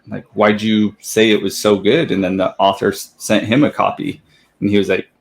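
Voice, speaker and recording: A man talking calmly as a guest in a casual interview, in a fairly flat way, with many "like"s. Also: fairly low pitch, brisk, creaky. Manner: calm, says he has a monotone voice, lots of "like". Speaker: guest, book reviewer. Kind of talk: casual chat.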